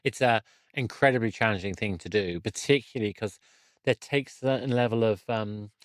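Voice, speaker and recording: A man with a fairly low voice hosts a casual podcast interview. He talks haltingly, often restarting a thought midway. The sound is dry, with little room on it. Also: dry recording.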